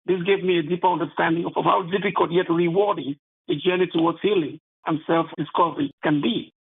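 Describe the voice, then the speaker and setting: A man with a Cameroonian accent speaks in a high, gravelly voice over a thin phone-like line. He is an author answering interview questions earnestly, in halting phrases peppered with "you know". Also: steady tone.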